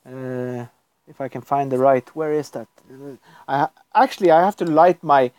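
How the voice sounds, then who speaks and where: A man's voice: medium pitch, moderate pace, a little mellow, clean tone, no room sound. Rambling man, dry sound. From Norway. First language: Norwegian. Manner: rambling, chuckles often. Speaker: live streamer. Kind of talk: casual livestream chat.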